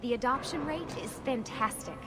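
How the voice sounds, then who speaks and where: An actress playing an earnest, caring young scientist in a video game, speaking in a serious, measured way. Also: female voice, high voice, over music, natural voice. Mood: matter-of-fact. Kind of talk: naturalistic video game acting.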